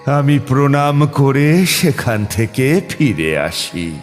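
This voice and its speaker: A man playing a grave tantric priest who narrates his own ghost encounter in a dramatic, suspenseful horror-story style with deliberate pauses. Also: mid-range voice, big pitch swings. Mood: shaken, awed. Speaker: narrator and lead character. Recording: long reverb, music bed behind. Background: clear background music.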